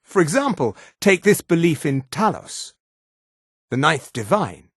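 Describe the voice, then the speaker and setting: An actor playing an arrogant, imperious male high elf in a fantasy video game, with a condescending, clipped manner. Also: sing-song, unhurried, fairly bright tone, slightly rough, dry recording, studio quality, heavily acted. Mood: arrogant, menacing.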